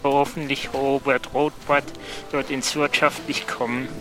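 A man's voice: medium pitch, little pitch movement, unhurried, sharp tone, faint music behind, rough recording. A man role-playing as a player in an improvised audio adventure, using his own voice. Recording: faint background noise and ambience.

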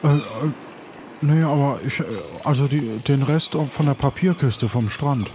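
Man playing a halting, casual swindler, noisy recording. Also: a little high, warm tone, lightly acted. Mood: chummy. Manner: halting, colloquial, tag questions. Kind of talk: improvised audio play. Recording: clear background noise.

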